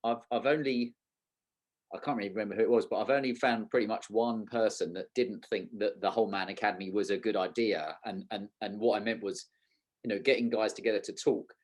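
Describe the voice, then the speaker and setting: A man's voice: lowish voice, steady tone, a little fast, darkish tone. A man hosting a podcast in an informal, rambling, conversational way. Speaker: podcast host. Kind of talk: casual conversational podcast talk.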